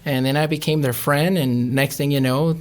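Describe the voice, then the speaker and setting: A middle-aged man, a podcast guest telling his own story in a plain, unhurried, earnest way. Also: fairly high pitch, fairly even intonation, slight background noise. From Mexicali, Mexico and Imperial County. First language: Spanish. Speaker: podcast guest, nurse practitioner. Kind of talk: casual interview talk.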